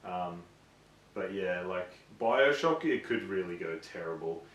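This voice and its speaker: Gaming show co-host: male, lively intonation, casual banter, distant mic in a reverberant room. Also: low voice, a little slow, highs cut off. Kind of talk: casual banter about games and films.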